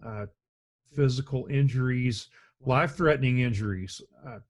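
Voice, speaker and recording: A middle-aged man with a deep voice teaching an EMS lecture over a video call, speaking in a measured, conversational way with frequent restarts. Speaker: EMS instructor. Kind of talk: recorded slide lecture. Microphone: webcam and computer mic on a video call.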